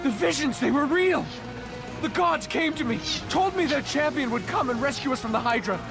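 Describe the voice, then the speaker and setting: A frightened soldier at sea, pleads in a very high, strained voice that swings widely. It is frantic, desperate video game acting with loud music behind it. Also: male voice, animated, normal speed. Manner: frantic, strained, breathless urgency.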